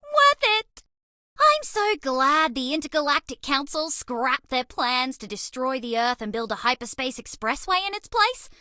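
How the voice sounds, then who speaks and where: A woman's voice: high-pitched, big pitch swings, unhurried, pushed and bright, no echo, studio quality, exaggerated voice. A woman voicing a bubbly, cheese-obsessed young alien rodent space traveler in a video game, with a perky, theatrical delivery. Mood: upbeat, fond. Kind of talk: animated game character voice lines.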